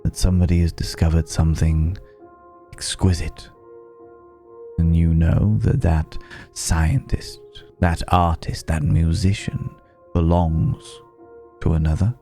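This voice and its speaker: A man playing a suave, ancient vampire club owner in an intimate ASMR roleplay, speaking in a genteel, quietly menacing way and savouring his pauses. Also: low voice, flat delivery, gravelly, soft background music. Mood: smug, confiding. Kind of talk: ASMR roleplay, intimate second-person acting.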